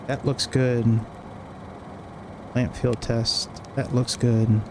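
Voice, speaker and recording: A male flight sim streamer talks himself through procedures at an unhurried, slow pace. His voice sits at a medium pitch, in short, clipped phrases with a casual feel. Steady cockpit engine noise runs clearly behind him. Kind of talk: casual thinking-aloud checklist walkthrough. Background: cockpit ambience and engine noise from flight simulator.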